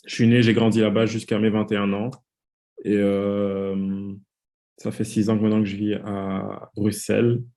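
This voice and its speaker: A man's voice: lowish voice, little pitch movement, moderate pace, mellow. A man in his twenties from Congo, a podcast guest telling his story in a hesitant, casual way with many fillers. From Democratic Republic of the Congo. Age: 20s. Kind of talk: casual interview talk.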